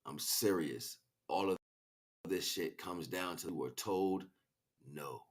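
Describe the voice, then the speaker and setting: A man delivering an impassioned, improvised spiritual monologue, preachy and grandiose, swinging between hushed asides and loud, emphatic declarations. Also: low-pitched, slow pace. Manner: preachy, grandiose, repeats phrases for weight. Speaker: spiritual speaker, streamer.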